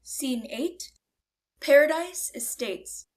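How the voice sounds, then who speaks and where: A woman's voice: high-pitched, animated, slow. A woman narrating scripted scene headings and stage directions for a radio play. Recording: faint background noise.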